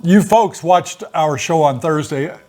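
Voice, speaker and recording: An older man in a relaxed, folksy co-host chat, his voice sitting a little high for a man. He rambles and explains at an easy pace, with plenty of "you know" along the way. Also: a little slow. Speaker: co-host of a retiree channel. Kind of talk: casual chat.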